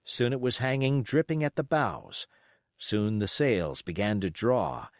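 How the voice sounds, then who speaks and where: Expressive male audiobook narrator, widely varied intonation. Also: fairly low pitch, a little slow, dry recording, studio quality. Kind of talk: scripted literary narration.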